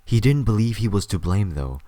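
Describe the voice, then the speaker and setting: Male narrator, very low pitch, dry sound, scripted storytelling. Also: sing-song, fairly quick, mellow, no room sound.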